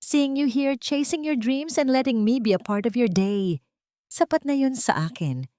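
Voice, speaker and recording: A woman voicing a gentle, devoted man who earnestly woos his wife in a tender storybook drama. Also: high voice, lively intonation, moderate pace, no echo, pitched above the performer's own voice. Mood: sincere, affectionate. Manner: tender, earnest, mixing Tagalog and English.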